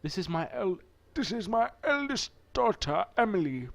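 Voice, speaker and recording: Proud genial father done by a man, raised strained voice, effusive, animated, visual novel gentleman. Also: normal speed, vocal fry, heavily acted. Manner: strained, put-on high voice, effusive.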